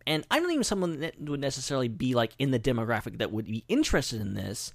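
Male podcast host, highly animated pitch, folksy and effusive, casual chat, dry studio-like sound. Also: quick pace, vocal fry, no echo.

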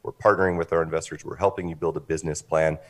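A man giving an articulate, businesslike pitch as an interview guest, explaining things in a measured, polished way. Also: fairly even intonation, fairly bright tone. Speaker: interview guest, company representative.

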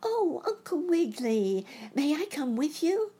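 Woman doing a high-pitched excitable little boy rabbit. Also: unhurried. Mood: eager, hopeful. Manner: exclaiming, breathlessly eager. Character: small excitable boy rabbit, eager and hopeful.

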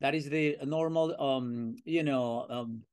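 An older man from Venezuela with a Venezuelan accent, telling his career story as a podcast guest in an animated way, acting out old conversations with plenty of fillers. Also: mid-range voice, slow, clear, resonant tone. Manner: animated, acts out remembered dialogue, many fillers. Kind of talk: casual storytelling interview.

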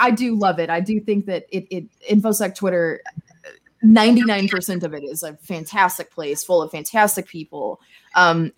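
A woman chatting casually as a podcast guest, enthusiastic and agreeable. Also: mid-range voice.

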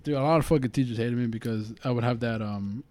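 A young man in his twenties with a high voice, chatting casually and profanely as a podcast co-host. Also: a little mellow. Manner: rapid, profane storytelling. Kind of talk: casual chat.

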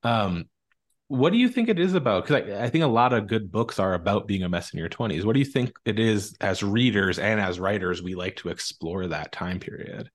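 A man's voice: lowish voice, animated, brisk. A man interviewing a guest as podcast host, chatting casually with loosely phrased, hesitant questions.

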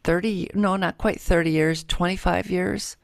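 A woman's voice: on the low side, steady tone, normal speed, gravelly, dry recording, clean studio sound. A middle-aged woman hosting in casual chat, animatedly acting out the quotes she retells. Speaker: book show host.

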